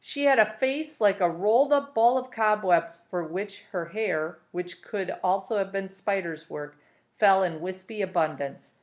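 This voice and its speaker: A woman's voice: mid-range voice, unhurried. A woman narrating a children's novel aloud as a storybook reader.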